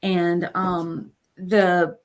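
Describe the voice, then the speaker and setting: A woman's voice: on the low side, little pitch movement, extremely slow. A woman in her fifties, a coach, talking about her experience as an interview guest in a chatty way and repeating phrases for emphasis. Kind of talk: casual interview storytelling.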